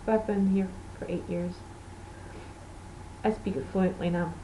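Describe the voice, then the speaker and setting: A woman's voice: medium pitch, steady tone, unhurried, dark tone, slight background noise, rough recording. A woman from Portugal, a native Portuguese speaker, hosting a knitting podcast in rambling, self-correcting casual chat.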